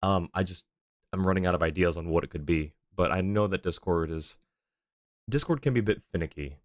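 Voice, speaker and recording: A man's voice: sits low, brisk, dry recording. A man hosting a game livestream, chatting casually with his viewers in a friendly, apologetic way. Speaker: livestream host, gamer. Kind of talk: casual game stream chat, reading chat and game dialogue aloud.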